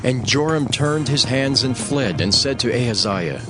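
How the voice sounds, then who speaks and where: Lively-pitched male scripture narrator. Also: measured pace, music in the background.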